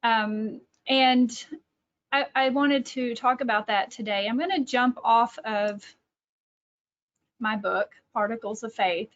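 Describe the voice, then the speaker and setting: Higher-voiced Texan woman, slightly muffled audio. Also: slow. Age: middle-aged. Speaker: speaker, educator and author. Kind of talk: informal online talk with personal anecdotes. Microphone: video call. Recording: dull lo-fi online call audio.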